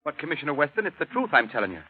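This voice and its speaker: A thin, band-limited 1930s radio drama recording presents a loyal police sidekick. He is a quick-talking man with a raised pitch. His manner is folksy, colorful and grumbling. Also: sing-song, breathy edge, narrow, tinny audio, soft background music. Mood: grumbling, insistent. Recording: thin vintage radio broadcast.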